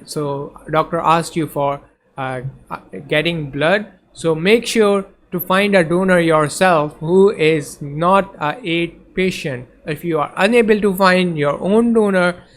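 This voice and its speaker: A man's voice: on the high side, fairly bright tone, a little hiss or hum. Hesitant male tutor, Urdu native speaker. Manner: hesitant, many fillers and restarts. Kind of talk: casual lecture explaining slides.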